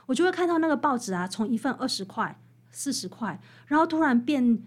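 A woman with a Taiwanese Mandarin accent, explaining things as an interviewed author in an animated, emphatic storytelling manner. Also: high voice, fairly quick. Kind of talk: expressive explanatory interview talk.

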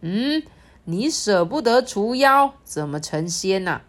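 A woman voicing a stern, commanding heavenly king who speaks slowly and emphatically. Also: medium pitch, sing-song. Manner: slow and emphatic.

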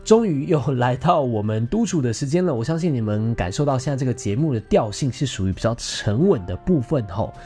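Animated Taiwanese man, mellow voice. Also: medium pitch, brisk, hardly any pauses, faint music behind. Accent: Taiwanese Mandarin. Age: 30s. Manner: theatrical, gossipy, drops in English words. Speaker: podcast host. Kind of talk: casual chatty monologue to listeners.

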